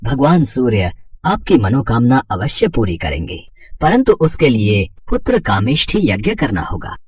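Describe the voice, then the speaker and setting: A man's voice: medium pitch, wildly swooping pitch, slightly rough. Man playing solemn, formal royal sage. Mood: solemn, reassuring. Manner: formal, measured declamation. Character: solemn, reassuring male royal priest and sage. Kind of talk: mythological story dramatization.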